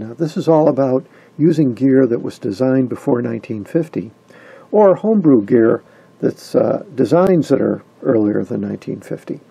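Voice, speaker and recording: A man giving a casual, halting, conversational explainer as an enthusiastic radio hobbyist. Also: mid-range voice, creaky, dark tone, breathy edge. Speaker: amateur radio hobbyist presenter.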